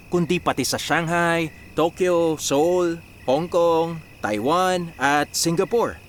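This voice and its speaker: Confident Filipino actor as business strategist, higher-pitched male voice. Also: a little hiss or hum, natural voice. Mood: patient, explanatory. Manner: explanatory, peppered with English business phrases and checking words. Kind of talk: Filipino radio drama acting.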